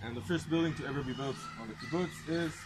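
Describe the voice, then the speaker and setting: A man's voice: medium pitch, quick pace. A man working as a tour guide, explaining informally step by step with rhetorical questions, in English shaped by American and South African parents. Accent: English with Anglo influence from American and South African parents. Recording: clear outdoor ambient noise.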